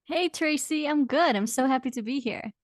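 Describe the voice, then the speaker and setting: Higher-voiced woman in her twenties, chatty. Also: moderate pace, no echo, clean studio sound. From Belgium. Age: 20s. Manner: warm, chatty, laughs often. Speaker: guest, health coach. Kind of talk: casual remote interview chat. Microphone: video call microphone.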